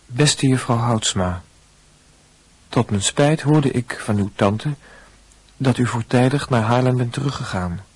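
Radio drama: earnest young medical student, stiffly polite, slightly breathy husk, dry studio sound. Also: male voice, medium pitch, a little slow, dry recording. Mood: formal, earnest. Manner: formal, earnest. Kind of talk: letter read aloud in a radio play.

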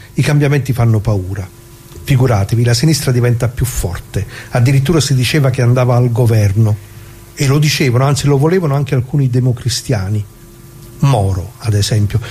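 A man's voice: medium pitch, fairly quick, a little mellow, natural voice. An actor playing a plain-spoken left-wing worker who recalls the past in a colloquial theatrical monologue, putting questions to his listeners. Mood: wry, confiding. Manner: conversational, rhetorical questions to listeners. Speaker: narrator of radio drama.

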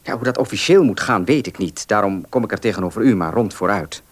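A man acts an earnest country gentleman in a Dutch radio play. His voice is fairly low, and he speaks with formal, halting courtesy, awkward but sincere. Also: close to an everyday voice.

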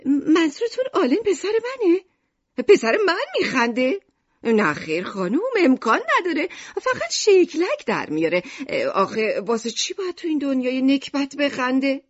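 A woman narrator performs a thirties shopkeeper mother in an audiobook. She is high, highly animated and irritable, scoffing sharply at customers. The sound is dry and a bit dull. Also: no echo. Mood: indignant.

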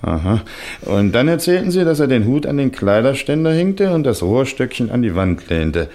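A man's voice: mid-range voice, sing-song, normal speed, mellow. An actor playing a deferential, puzzled policeman in a 1960s radio drama, asking questions in a hesitant, inquisitive manner. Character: deferential, puzzled male police officer, questioning his boss.

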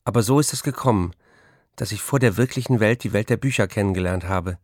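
An actor playing a reflective writer who recalls his bookish childhood in measured, literary phrases for a radio play. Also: lowish voice, no room sound, studio quality, natural voice. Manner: measured, literary first-person recollection.

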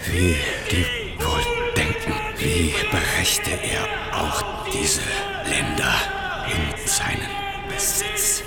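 Imperious radio-play proclaimer, extremely high shrill voice, drawn-out broken shouting, strained. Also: huge pitch swings, full character voice. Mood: imperious, strident. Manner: shouting in slow, broken phrases. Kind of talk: avant-garde German radio drama. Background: loud music and crowd.

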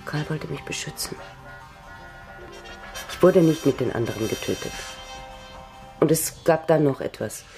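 An actress playing an anxious, determined, secretive woman in a radio drama, with a husky, soft voice and urgent, pleading delivery. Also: low-pitched, animated, a little slow, long pauses, soft background music. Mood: subdued confession. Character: anxious, determined woman hiding a dangerous past.

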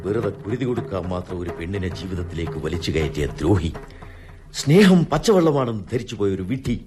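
A man's voice: fairly low pitch, very animated, fairly quick, darkish tone. A man playing a vengeful widowed doctor in a radio drama, speaking with bitter, accusing passion. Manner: accusing, impassioned. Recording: radio drama over clear music.

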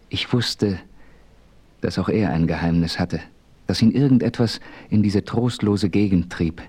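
An actor playing a solemn frontier hero who narrates his adventure in a measured, formal audio drama style. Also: deep voice, mellow, breathy edge.

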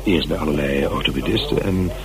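A hesitant, talkative man in a radio drama. His voice is very low and his delivery halting and conversational, with clear crowd chatter behind him. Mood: hesitant, chatty. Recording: radio recording with background chatter.

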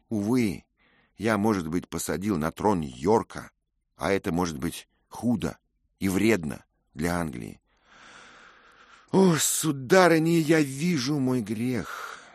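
An earnest, ashamed young squire pleading his case in a low, subdued voice, read by a man. The reading is close and dry, with no room sound. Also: dry recording. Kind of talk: audiobook character reading.

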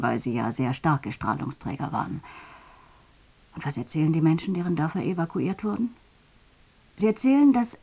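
A woman's low, husky voice in a radio drama. She recounts what she has seen in plain, vivid storytelling, quietly haunted. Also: exaggerated voice. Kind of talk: testimony monologue in radio drama.

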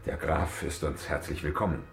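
A man's voice: very deep voice, flat delivery, moderate pace, creaky, reverberant, soft background music. A man acting a stiff, middle-aged count and father in a German radio drama, speaking with formal ceremony. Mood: ceremonious.

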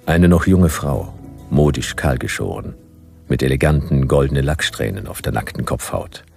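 An actor playing a dry, world-weary detective-story narrator in a German radio drama, with a gravelly voice. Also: male voice, extremely low pitch, expressive, normal speed, a little mellow, full character voice. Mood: dry, measured. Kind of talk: scripted noir radio narration. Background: faint music.

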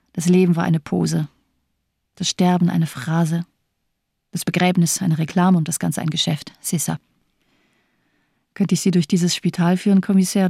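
A woman's voice: lowish voice, mellow, no room sound, studio quality. An actress playing a bitter, cynical morphine-addicted woman doctor in a radio drama, declaiming with sardonic eloquence.

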